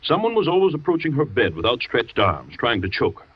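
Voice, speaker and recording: An actor playing a skeptical family doctor in a 1940s radio thriller, dismissive and measured, on a dull old broadcast. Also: male voice, mid-range voice, huge pitch swings, breathy edge.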